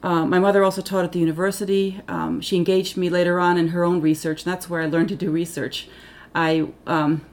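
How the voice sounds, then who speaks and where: A middle-aged woman speaks with a low voice. Her first language is Finnish, and she grew up in Tanzania. She talks in a thoughtful, halting way, correcting herself often. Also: level tone. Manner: thoughtful, halting, many ums and self-corrections. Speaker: interviewee, Africanist scholar. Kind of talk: reflective oral history interview.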